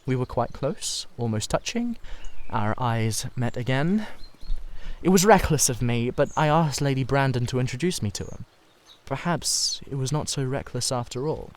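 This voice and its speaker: A man voicing an anxious, earnest Victorian gentleman painter who confides dramatically in a literary reading. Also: mid-range voice, expressive, moderate pace, close to an everyday voice. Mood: earnest reflection.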